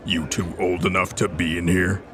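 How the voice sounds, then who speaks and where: A man's voice: low-pitched, level tone, moderate pace, a crowd in the background, natural voice. A man playing a massive, stern Cyclops bartender in a fantasy audio drama, dismissive and threatening. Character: gruff, massive one-eyed Cyclops bartender, stern and principled. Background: faint music.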